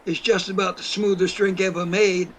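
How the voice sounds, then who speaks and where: A man playing a rambling, easily spooked beachgoer who tells his tale in dramatic, drawn-out audio play narration. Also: sits high, flat delivery, fairly bright tone. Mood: chatty, puzzled. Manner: theatrical, drawn-out emphasis.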